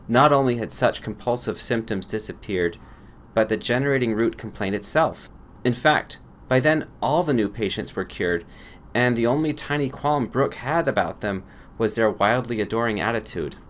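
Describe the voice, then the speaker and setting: A man's voice: medium pitch, normal speed, slight background noise. A man reading a scripted story as an audiobook narrator. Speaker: audiobook reader.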